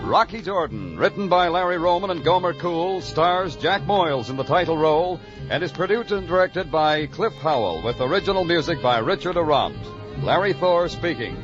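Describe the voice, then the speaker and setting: Man, radio announcer, scripted vintage credits, slightly high voice, muffled recording. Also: lively intonation, moderate pace. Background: music.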